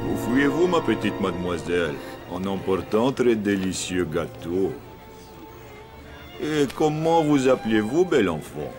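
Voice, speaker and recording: A man voicing an oily, menacing villain with mock politeness in a French animated series. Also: fairly low pitch, unhurried, music in the background.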